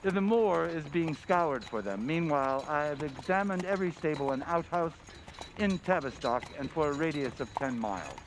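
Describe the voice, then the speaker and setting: A man playing an earnest, methodical police inspector in an old-time radio drama, giving formal, deliberate reports. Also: a little high, expressive, poor audio. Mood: assured, explaining. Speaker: community theatre actor. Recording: clear background noise.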